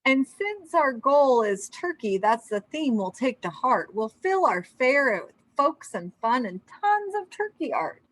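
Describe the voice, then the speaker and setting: A woman plays an eager, plotting townsman from a children's storybook. The delivery is bright and persuasive, with animated, swooping pitch in a dry recording. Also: a little slow, few pauses, dry recording, exaggerated voice, low-quality recording. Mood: eager, scheming. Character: scheming, cheerful townsman, eager to trap a turkey.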